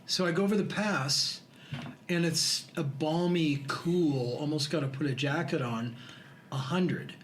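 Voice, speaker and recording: Man in his 60s, guest, wry storyteller, somewhat high pitch, clear room noise. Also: unhurried. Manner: self-deprecating, laughing storyteller. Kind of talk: casual storytelling. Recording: noisy room ambience.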